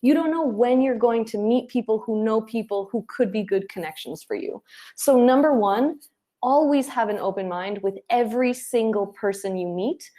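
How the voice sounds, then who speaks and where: Higher-voiced woman giving conversational advice. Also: moderate pace, dark tone. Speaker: interview guest, head of client acquisition. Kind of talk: conversational interview, advice with personal anecdotes.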